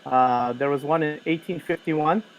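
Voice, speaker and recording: A man's voice: on the high side, moderate pace, slight background noise, low-quality recording. A man co-hosting a casual podcast chat, talking loosely with many fillers and restarts. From United States. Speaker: podcast co-host.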